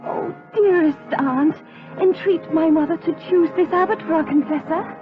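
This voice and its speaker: A woman's voice: extremely high pitch, moderate pace. A woman playing a devout, naive young niece in a gothic audio drama, earnest and pleading in mannered period speech. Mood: adoring, pleading. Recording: muffled radio-like sound with music behind.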